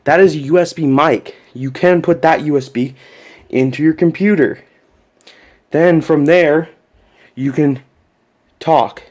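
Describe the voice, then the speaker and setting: A man's voice: a little high, measured pace, long pauses, a little mellow. A man giving a casual, unscripted hands-on tech tutorial, explaining step by step and often repeating himself. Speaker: tutorial presenter.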